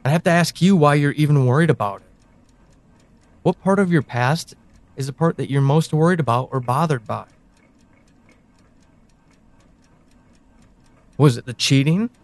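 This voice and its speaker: A man playing a brooding, knowing confidant in an audio drama monologue, speaking deliberately to one listener with pointed pauses. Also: a little high, lively intonation, no room sound. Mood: probing, ominous. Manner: deliberate, halting delivery with sighs and pointed pauses. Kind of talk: audio drama monologue, second-person address.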